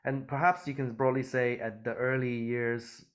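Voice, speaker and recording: A man's voice: mid-range voice, little pitch movement, normal speed. A middle-aged man from Hong Kong, a church elder, answering interview questions conversationally.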